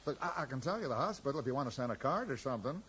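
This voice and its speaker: An actor playing a kindly, middle-aged American small-town sheriff in a radio drama, speaking in a folksy, hesitant way. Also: male voice, mid-range voice, sing-song, very fast, a bit bright. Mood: reluctant, sympathetic. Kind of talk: 1980s radio drama acting. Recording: noisy 1980s radio drama recording.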